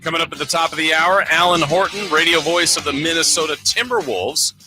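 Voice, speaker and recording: A man's voice: on the high side, brisk, music in the background. A man hosting a sports radio show, talking casually and thinking aloud in a conversational way. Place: radio studio. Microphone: close broadcast studio mic.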